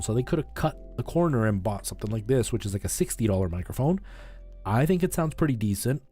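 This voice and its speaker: Man, mid-pitched voice, casual streamer chat, conversational explaining, music playing behind. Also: a little mellow. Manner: conversational, explanatory, often checks in with "right?".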